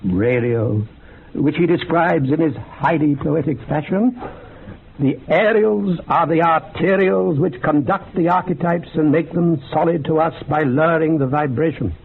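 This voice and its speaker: An actor playing an earnest, bookish occult researcher in a radio drama, lecturing in a measured, gravelly, husky voice. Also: male voice, fairly high pitch, slight background noise. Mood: scholarly, absorbed. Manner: measured, lecturing, quotes texts with relish. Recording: muffled phone-like old radio recording.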